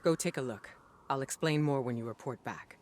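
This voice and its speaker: An actor playing a weary but resolute male commander in a video game, measured, reflective and serious. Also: mid-range voice, a little hiss or hum, natural voice. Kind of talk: dramatic video game voice acting. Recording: music under the dialogue. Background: game music.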